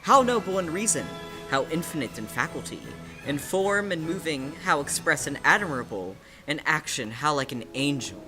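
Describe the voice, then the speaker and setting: A woman voicing a melancholy young prince who declaims grandly, with weighty pauses and wry exasperation. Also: low-pitched, expressive, over music.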